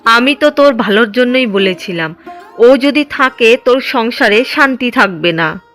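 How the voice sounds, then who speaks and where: Lady doing a sly older village mother-in-law for a cartoon, highish voice, music behind. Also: lively intonation, a little slow, fairly bright tone. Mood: pleading. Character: scheming older village mother-in-law, jealous of new bride. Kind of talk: Bengali cartoon drama dialogue.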